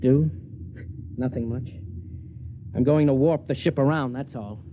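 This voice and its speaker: An actor playing a resolute, weary spaceship captain and husband, terse and authoritative, in 1950s radio drama style. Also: male voice, mid-range voice, steady tone, unhurried, close to an everyday voice. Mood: brisk, businesslike. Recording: muffled, hissy old radio broadcast.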